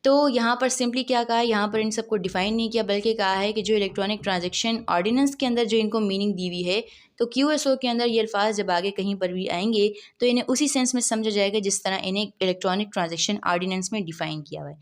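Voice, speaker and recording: A woman giving a law lecture, reading legal clauses slowly and then explaining them quickly, often asking questions and answering them herself. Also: mid-range voice. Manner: reads legal text slowly, then explains in quick mixed Urdu-English.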